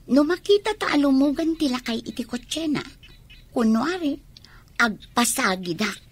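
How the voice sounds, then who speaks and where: A woman's voice: sits high, lively intonation, unhurried, husky, full character voice. A woman acting in a radio drama as a brazen, scheming young gold-digger, sly and theatrical.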